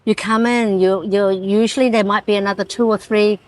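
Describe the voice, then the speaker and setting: A woman's voice: medium pitch, steady tone, moderate pace, dry recording. A woman fitness coach in a small studio answering interview questions, eager and agreeable, restarting phrases and saying yeah often. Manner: warm, eager, agreeable, with many restarts and frequent yeahs. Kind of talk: casual interview.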